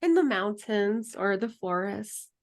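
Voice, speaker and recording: A woman teaching an herbalism class in a relaxed, chatty way, then leading a guided meditation. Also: medium pitch, unhurried. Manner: relaxed, chatty, laughs easily.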